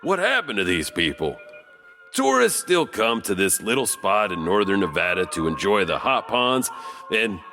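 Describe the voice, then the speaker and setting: A man performs an enigmatic old-tale guide, a sly janitor hosting horror stories. His low voice swings theatrically and teasingly over clear background music. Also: big pitch swings, normal speed, bright, forceful tone, exaggerated voice. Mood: ominous, sly. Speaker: host. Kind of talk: scripted horror anthology narration.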